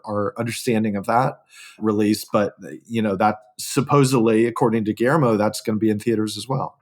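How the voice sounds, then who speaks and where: A man on a podcast panel, discussing industry news in a thoughtful, measured way. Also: fairly low pitch.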